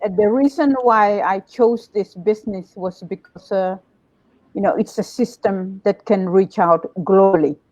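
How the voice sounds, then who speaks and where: Woman guest, steady explainer, muffled dry mic. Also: medium pitch, unhurried, no echo. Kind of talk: casual chat. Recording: dull dry sound.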